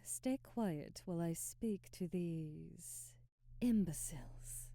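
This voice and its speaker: Woman playing a dark-voiced, haughty vampire noblewoman. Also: fairly low pitch, creaky, no echo. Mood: weary, irritated. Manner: formal, imperious, deliberate diction. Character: haughty aristocratic female vampire, commanding, coldly indignant. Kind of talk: scripted ASMR audio roleplay.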